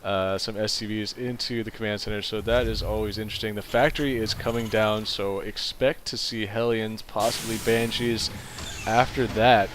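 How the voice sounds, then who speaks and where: A man's voice: normal speed, a little hiss or hum. A young man with a nasal voice giving casual commentary on a strategy video game, rambling loosely and then speeding up and rising as the fights start. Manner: relaxed and rambling, gets louder and higher as the action heats up. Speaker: game caster. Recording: music playing behind the voice.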